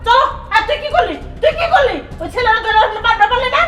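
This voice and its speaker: A woman plays a very high-voiced, hot-tempered Bangladeshi mother who berates everyone around her. Her delivery swings wildly in melodramatic short-film style, heard from a distance in an echoey space with music behind. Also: animated, quick pace, a bit bright. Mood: angry, scolding. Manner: scolding, berating. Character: hot-tempered, domineering mother guarding family honour.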